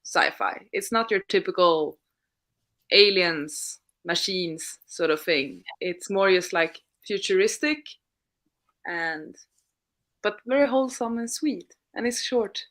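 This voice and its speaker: A woman's voice: lowish voice, animated, takes it slowly, fairly bright tone. A woman chatting informally and thoughtfully on a livestream about books, as one of its hosts. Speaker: co-host of a livestreamed reading sprint. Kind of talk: casual unscripted livestream chat about books.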